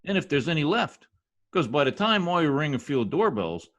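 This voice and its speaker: A man's voice: on the high side, sing-song, moderate pace, background noise. A man playing a folksy, self-important wisecracking husband in an old-time radio comedy, talking in slangy bluster. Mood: grumbling eagerness. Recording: home video-call recording.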